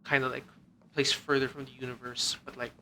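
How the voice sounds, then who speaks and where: Bright-voiced man rambling over a game. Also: medium pitch, flat delivery, brisk, slight background noise. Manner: rambling, repeats "like" often, swears casually. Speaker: game streamer. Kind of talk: casual game commentary.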